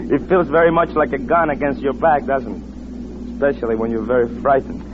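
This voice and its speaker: A man's voice: medium pitch, steady tone, fairly quick, background noise, close to an everyday voice. A man acting a sly, menacing foreign schemer in an old radio thriller, with a sardonic, mock-polite manner. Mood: smug, menacing. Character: sly, teasing foreign man held at gunpoint, menacing when armed. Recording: muffled vintage radio recording with music.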